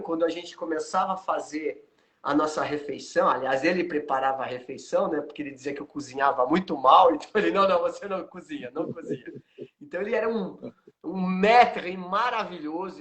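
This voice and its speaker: A man hosting a live interview, telling stories with lively asides. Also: fairly high pitch. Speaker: host, martial arts teacher.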